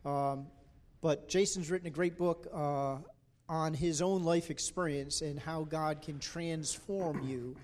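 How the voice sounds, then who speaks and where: A pastor with a fairly high voice welcomes a guest speaker to the pulpit. He speaks haltingly, filling his pauses with ums and uhs. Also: fairly even intonation, darkish tone. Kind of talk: church introduction. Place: church sanctuary.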